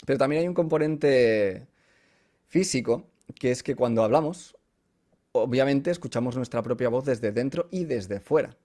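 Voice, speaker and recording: A man's voice: mid-range voice, animated, moderate pace, mellow. A man with a Spanish accent from Spain, a voice coach chatting as a podcast guest and explaining with everyday examples and check-in questions. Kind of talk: casual explanatory interview chat.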